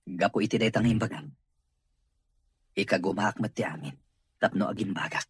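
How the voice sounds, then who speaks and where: A man playing a grateful, earnest boss recovering in therapy, in an Ilocano radio drama, with a Filipino accent and a husky voice. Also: on the low side, moderate pace, dry recording, studio quality. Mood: grateful, subdued.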